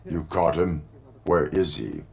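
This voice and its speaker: A man playing a stoic middle-aged cyborg policeman in a video game, speaking in a clipped, formal, deadpan way with a gravelly voice. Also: very deep voice, slow pace, full character voice. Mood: flat, stoic.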